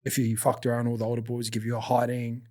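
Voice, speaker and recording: Fast Kiwi podcast host, blokey. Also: male voice, medium pitch, monotone, darkish tone. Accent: New Zealand. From New Zealand. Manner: blokey, laughs often, reflective. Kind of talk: casual podcast interview.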